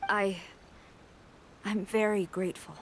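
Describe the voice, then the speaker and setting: An actress playing a stern, dutiful female military commander in a video game, formal, measured and authoritative. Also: medium pitch, flat delivery, slight background noise. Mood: reluctant gratitude. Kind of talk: English video game voice acting.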